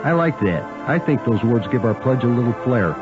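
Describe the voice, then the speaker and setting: A man's voice: medium pitch, big pitch swings, fairly quick. A man reading an opinionated radio advertisement as an author promoting his own book. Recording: dull radio spot over music.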